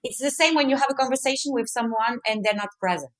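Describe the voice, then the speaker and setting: A woman from France with a mixed French accent, talking expressively in halting non-native English as an interview guest. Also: fairly high pitch. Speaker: podcast guest, movement artist. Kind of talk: casual conversational interview.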